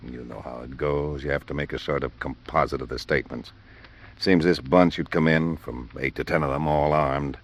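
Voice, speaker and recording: An American actor playing a harried, grumbling police robbery lieutenant in an old radio drama, weary and sardonic. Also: male voice, rumbling low, fairly even intonation, moderate pace. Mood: weary, explaining. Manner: weary, sardonic, world-worn griping. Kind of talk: 1940s-50s radio drama acting. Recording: muffled vintage radio recording.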